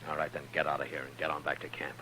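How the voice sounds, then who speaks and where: An actor playing a stern, weary frontier marshal with an American accent, terse and commanding, in old-time radio style. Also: male voice, extremely low pitch, monotone, rapid-fire. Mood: menacing, firm. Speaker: actor in a western radio drama. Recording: noisy, humming vintage radio recording.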